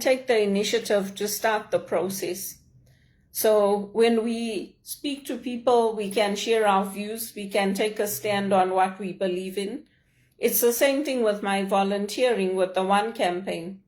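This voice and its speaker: A middle-aged woman speaks in a measured, heartfelt way, reflecting on her own experience. Her voice sits at an ordinary mid pitch. She talks things through steadily and earnestly, as in a personal vlog. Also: a little mellow. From Zimbabwe. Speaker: leadership vlogger.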